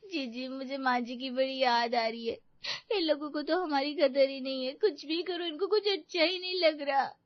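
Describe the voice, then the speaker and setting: A woman's voice: high voice, normal speed, dry recording, lo-fi sound, pitched above the performer's own voice, lightly acted. A woman voicing a self-pitying young daughter-in-law, crying melodramatically in a cartoon. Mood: tearful wailing.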